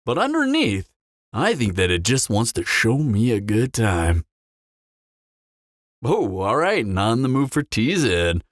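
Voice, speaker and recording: A man's voice: mid-range voice, wildly swooping pitch, no room sound, studio quality. Man acting a smug, swooping flirtatious vampire. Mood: teasing. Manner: teasing, smug drawl with dramatic pauses. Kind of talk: scripted audio roleplay, intimate character acting.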